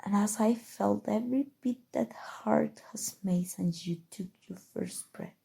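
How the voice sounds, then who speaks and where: A woman playing a calm, seductive, obsessive evil sorcerer in an intimate, coaxing ASMR roleplay, with dramatic pauses. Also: mid-range voice, vocal fry, breathy edge, heavily acted. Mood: possessive, intense. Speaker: voice actor. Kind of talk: ASMR roleplay, scripted acting.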